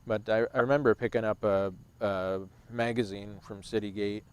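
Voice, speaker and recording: A man in his thirties who works at a rescue mission, speaking reflectively and in a measured way during a casual talk outdoors. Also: fairly low pitch, steady tone, clear, resonant tone. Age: 30s. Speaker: rescue mission staff member. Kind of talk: casual conversation on a livestream. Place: outdoors on a bench.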